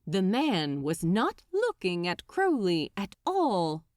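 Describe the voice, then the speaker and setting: A woman narrating scripted fiction dramatically, with theatrical, deliberate, suspenseful phrasing. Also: medium pitch, huge pitch swings, darkish tone, dry recording, clean studio sound. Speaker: audiobook narrator.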